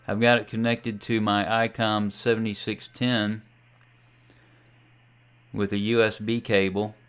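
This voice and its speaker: A man giving a casual, folksy tutorial as a ham radio hobbyist, talking through a software demo in an unhurried way. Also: medium pitch, clear, resonant tone. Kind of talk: casual screen-share tutorial.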